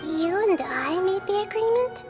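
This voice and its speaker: A woman voicing a small, childlike goddess in a video game, gentle, thoughtful and polite. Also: very high-pitched, animated, unhurried, loud music behind the voice. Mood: apologetic, gentle. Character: small childlike female deity, gentle, wise, considerate.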